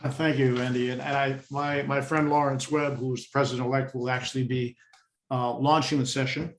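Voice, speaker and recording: An American man in his sixties, a vice president of a safety institution, introducing himself in a measured way with frequent pauses over a video call. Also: mid-range voice, flat delivery, reverberant. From United States. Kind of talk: brief self-introduction.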